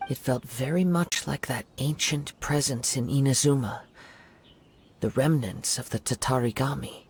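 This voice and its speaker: A man voicing a calm young wandering samurai in a video game, speaking in a measured, thoughtful way. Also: mid-range voice, vocal fry, slight background noise. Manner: measured, thoughtful and soft-spoken. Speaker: investigating hero.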